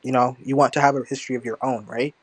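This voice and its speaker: A man's voice: medium pitch, flat delivery, fast, dry recording. Hesitant man from the US asking questions. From United States. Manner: hesitant, many fillers and restarts. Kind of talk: online voice-chat debate.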